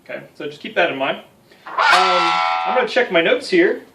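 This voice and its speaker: A man's voice: sits high, lively intonation, normal speed, far from the mic, low-quality recording. An American man in his thirties giving a casual guitar tutorial with self-deprecating, joking asides. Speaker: guitar instructor. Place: small home music room.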